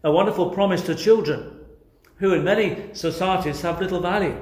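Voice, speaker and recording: A man's voice: high voice, fairly quick, a little mellow. A man with an English accent preaching a sermon earnestly, putting questions directly to his listeners. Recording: echoey, reverberant room sound.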